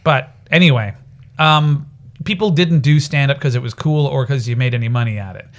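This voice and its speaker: A man in his forties, a stand-up comedian guesting on a podcast, telling stories in a halting, repetitive way. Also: medium pitch. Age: 40s. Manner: halting, repeats words, storytelling. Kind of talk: casual comedy podcast chat. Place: recording studio.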